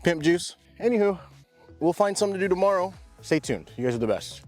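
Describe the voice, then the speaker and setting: A man's voice: high voice, expressive, brisk, soft background music. A young man vlogging about his lawn in a casual, chatty way, full of slang like bro and dude. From Pacific Northwest. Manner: laid-back, chatty, slangy, says bro and dude a lot. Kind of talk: casual vlog talk to camera. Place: outdoors in a yard.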